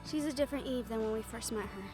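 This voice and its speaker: A woman's voice: fairly high pitch, very fast, music in the background. A woman giving scripted story narration for an audio roleplay, as its storyteller. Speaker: storyteller and voice performer.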